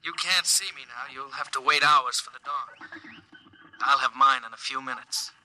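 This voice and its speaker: An American man playing a young astronaut stranded alone in space, musing haltingly in 1950s radio drama style. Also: medium pitch, steady tone, sharp tone. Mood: wistful, lonely. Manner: halting, musing monologue to unseen listeners. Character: lonely stranded astronaut, a young lieutenant, wistful and reflective. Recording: noisy vintage 1950s radio recording.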